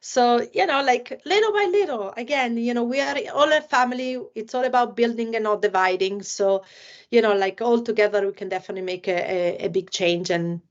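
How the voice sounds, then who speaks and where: A woman's voice: fairly high pitch, brisk. Italian-accented woman chatting on a lo-fi call. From Italy. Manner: many fillers like "you know, like". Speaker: podcast host. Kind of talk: casual podcast chat.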